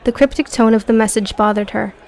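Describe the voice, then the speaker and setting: A woman's voice: on the high side, fairly even intonation, brisk, vocal fry, a little mellow, a faint crowd. A woman reading scripted audio book narration as the narrator.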